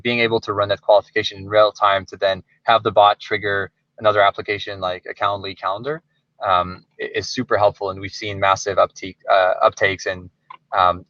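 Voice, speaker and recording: Male webinar presenter, informal and hesitant, crisp-edged bright tone, close dry sound on slightly lo-fi audio. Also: on the low side, dry recording. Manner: informal, hesitant phrasing with frequent "like". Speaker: software company presenter. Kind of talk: webinar presentation, explanatory talk.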